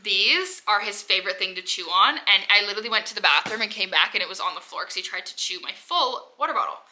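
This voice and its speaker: A woman's voice: medium pitch, animated, fast talker, sharp tone. A woman in her twenties talking casually to camera in a personal vlog, rambling with lots of "like", stuffy from a head cold. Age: 20s. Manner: rambling, heavy use of "like". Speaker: vlogger.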